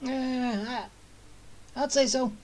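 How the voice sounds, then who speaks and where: A woman's voice: high voice, fairly even intonation, slow pace, a little mellow, a little hiss or hum. A woman gamer giving casual let's-play commentary in short offhand remarks as she follows a story quest. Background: game music.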